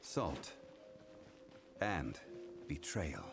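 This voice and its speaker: A very low-voiced grave, ancient man from a fantasy game. He is calm, stern and knowing, and speaks slowly in formal, solemn phrases over music. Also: gravelly, exaggerated voice. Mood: solemn, resigned. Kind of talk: video game voice acting.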